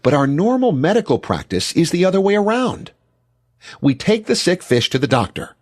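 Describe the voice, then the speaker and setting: A man reading scripted explanatory narration in an emphatic, deliberate instructional manner. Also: high voice, sing-song.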